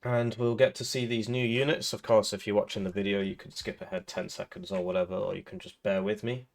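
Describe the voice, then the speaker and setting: A man's voice: lowish voice, little pitch movement, brisk, vocal fry. A man with a cold, sounding a little throaty, giving casual rambling commentary over a strategy game with lots of fillers and restarts. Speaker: gaming video creator.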